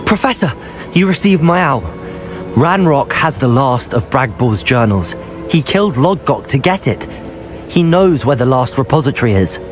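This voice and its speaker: A man's voice: medium pitch, lively intonation, normal speed, natural voice. Man playing a determined young wizard over music. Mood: urgent. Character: earnest, determined young male wizard student. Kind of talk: dramatic video game voice acting. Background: game music.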